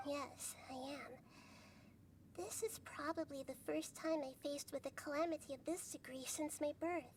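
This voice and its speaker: A woman playing a gentle, wise, childlike young goddess in a video game, speaking in a measured, earnest, thoughtful way. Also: sits high, a little hiss or hum, close to an everyday voice. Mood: grave, calm. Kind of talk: scripted video game voice acting.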